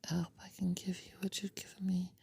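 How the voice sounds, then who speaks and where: A woman playing a sleepy, adoring girlfriend in an ASMR roleplay, whispering tender, soothing pillow talk in a breathy voice. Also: fairly low pitch, level tone, quick pace, warm tone, exaggerated voice. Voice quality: breathy, mostly unvoiced whisper.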